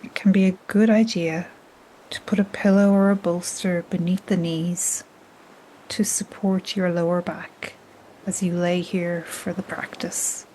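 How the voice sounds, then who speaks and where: A woman guiding a yoga nidra relaxation in a soft, breathy, half-whispered, soothing manner. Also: on the low side, a little slow, poor audio. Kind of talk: guided relaxation meditation. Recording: steady soft noise like ocean waves. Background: gentle ocean waves.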